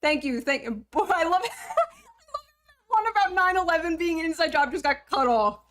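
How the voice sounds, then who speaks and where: A woman's voice: extremely high pitch, expressive, a bit bright. A woman in her thirties chatting casually on a livestream, teasing her viewers, swearing freely and laughing often. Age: 30s. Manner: teasing, profane, quick to laugh. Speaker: streamer.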